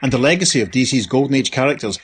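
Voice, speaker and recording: A man's voice: medium pitch, lively intonation, very fast, slight background noise. A man reading a scripted podcast promo as a co-host.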